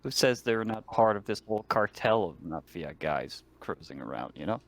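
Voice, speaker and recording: A man's voice: on the low side, animated, moderate pace, creaky. A man chatting casually as a player in a tabletop roleplaying game.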